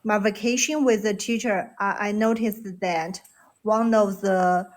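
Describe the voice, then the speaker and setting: A woman's voice: medium pitch, steady tone, measured pace. A woman from China with a Chinese accent, speaking English in a casual chat, hesitant with many fillers and restarts. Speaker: caller on a live English voice room.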